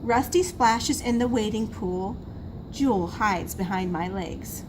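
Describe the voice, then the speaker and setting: A woman reading a children's picture book aloud in an expressive, emphatic storytelling manner. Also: medium pitch, slow pace. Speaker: school assistant reading to pupils. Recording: noisy room recording.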